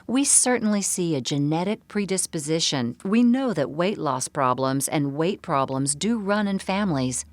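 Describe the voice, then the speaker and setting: Low-voiced woman presenting, dry sound. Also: no room sound. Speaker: presenter of a bariatric surgery program. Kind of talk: scripted narration of a medical seminar.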